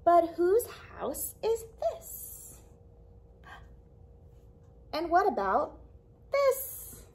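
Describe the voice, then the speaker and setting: A young woman reading a picture book aloud to small children, expressively, pausing for questions and little asides to her listeners. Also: very high-pitched, sing-song, a little mellow, slight background noise. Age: young adult. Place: small indoor library room with a puppet theatre.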